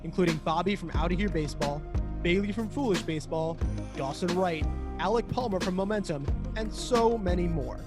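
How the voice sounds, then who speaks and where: A high-voiced man delivers a scripted podcast promo in a bright, upbeat announcer manner. Music plays clearly underneath him the whole time. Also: brisk. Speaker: podcast host reading a promo.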